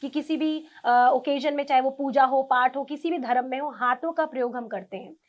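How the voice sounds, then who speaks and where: A woman with a high-pitched voice gives explanations as an interview guest. She is earnest and instructive, dropping English words into her speech. The sound is somewhat dull and lo-fi. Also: fairly quick. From India. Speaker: interview guest, tarot reader and Reiki practitioner; government school teacher.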